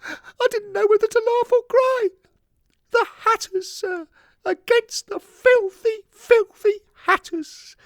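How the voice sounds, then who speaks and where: A man voicing an eager, emotional young English lad in an audio story, excitable and deferential, forever adding "sir". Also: very high-pitched, takes it slowly, no echo, studio quality, voice pushed up, full character voice. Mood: overjoyed, tearful. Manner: excitable, punctuates phrases with "sir". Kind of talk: scripted audio story monologue.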